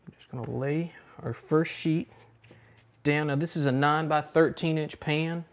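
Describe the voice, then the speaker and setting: A middle-aged man hosting a cooking show, talking through a recipe step by step in a relaxed, instructional way from a home kitchen. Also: on the high side, unhurried.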